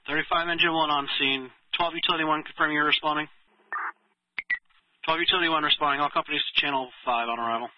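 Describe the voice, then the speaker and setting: A man's voice: fairly high pitch, fairly even intonation, fast, highs cut off, a little hiss or hum. A man working as a fire dispatcher, giving clipped, formal, procedural announcements and read-backs over emergency radio. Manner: procedural, reads units back. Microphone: dispatch radio transmission.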